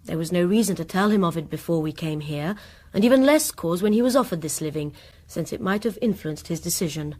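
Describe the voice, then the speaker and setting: An actress playing a proud, guarded rector's wife in a Victorian radio drama, speaking formally. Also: female voice, fairly low pitch, lively intonation, brisk, darkish tone. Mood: reserved, proud. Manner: formal, crisp-worded, defensive. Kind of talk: period radio drama acting.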